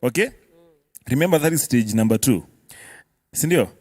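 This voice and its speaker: A man's voice: medium pitch, lively intonation, normal speed, a little mellow, dry recording. A Kenyan man with a mid-range voice speaks as a guest expert in a dry, close recording. He explains things step by step in a casual way, often adding short tag questions and switching between languages. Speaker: music producer guest.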